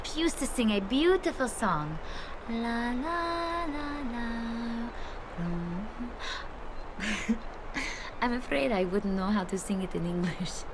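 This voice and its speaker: A fairly high, very expressive female innkeeper talks unhurriedly. She is earthy, affectionate and a little wistful, in video game cutscene style, with noticeable ambience behind her. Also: slow pace, pauses often, background noise. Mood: folksy, nostalgic. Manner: coaxing, affectionate, folksy. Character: kind, chatty, earthy tavern hostess, wistful.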